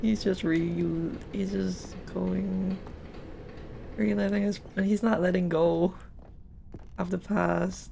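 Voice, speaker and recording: A woman's voice: fairly low pitch, steady tone, slow, creaky, pauses often, dark tone, slight background noise. A woman livestreaming a game, musing aloud and reacting with exasperation in casual commentary.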